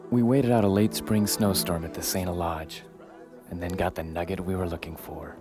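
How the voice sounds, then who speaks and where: Deep-voiced male narrator over music. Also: fairly even intonation, normal speed. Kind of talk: scripted documentary narration. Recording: music bed under the voice.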